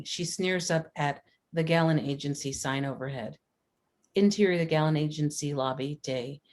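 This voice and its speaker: A woman with a low voice reads screenplay stage directions as a narrator. Her delivery is scripted narration, as at a table read of a drama. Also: a little mellow.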